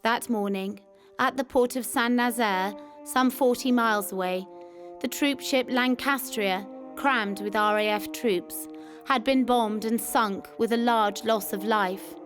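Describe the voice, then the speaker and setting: A woman's voice: a little high, measured pace. A woman reading a scripted first-person wartime memoir as a narrator. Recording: music behind the voice. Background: music.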